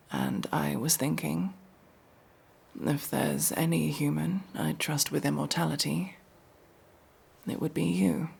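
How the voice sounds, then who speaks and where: Woman acting as a breathy, creaky, tender elf mercenary. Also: low voice, a little mellow, exaggerated voice. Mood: flustered, tender. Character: masked elf mercenary woman, tsundere, tender with her beloved. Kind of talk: intimate ASMR roleplay acting.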